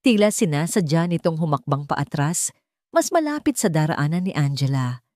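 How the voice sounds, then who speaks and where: A woman's voice: low voice, very animated, moderate pace, darkish tone, no room sound, studio quality. A woman giving a dramatic scripted narration of a romance audio story.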